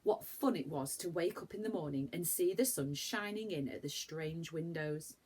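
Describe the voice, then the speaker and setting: A woman reading a children's story aloud like a primary school teacher, with animated, dramatic emphasis for young listeners. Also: low voice, normal speed, husky.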